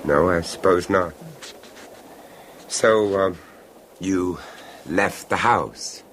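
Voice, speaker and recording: An actor playing a calm, tactful confidant in a 1970s radio horror drama, asking gentle questions in a measured, tentative way. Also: male voice, deep voice, heavily acted. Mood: quietly curious. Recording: noisy old 1970s radio recording.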